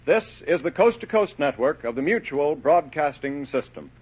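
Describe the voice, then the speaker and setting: Casting: male 1930s radio announcer, formal and declamatory, rather high husky voice, dull broadcast sound. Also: big pitch swings, normal speed.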